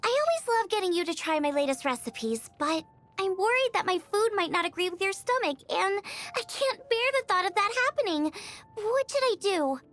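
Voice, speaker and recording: A woman voicing a bubbly, eager teenage girl chef in scripted video game lines, perky, earnest and expressive. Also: very high-pitched, moderate pace, exaggerated voice. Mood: worried.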